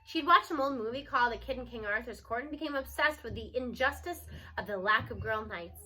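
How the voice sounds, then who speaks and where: A woman giving an expressive read-aloud of a children's novel. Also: a little high, fairly quick, poor audio.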